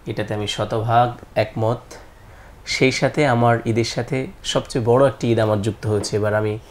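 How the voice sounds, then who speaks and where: A man's voice: mid-range voice, fairly even intonation, moderate pace. A man with a Bangladeshi accent, a guest singer talking conversationally on a talk show.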